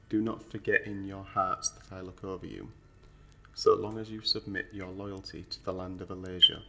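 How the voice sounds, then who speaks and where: Man playing a solemn, forceful-voiced fantasy officiant. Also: sits low, creaky, full character voice. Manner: stately, declamatory, formal. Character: solemn ceremonial officiant of a fantasy noble court. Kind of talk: fantasy audio roleplay, formal ceremonial oration.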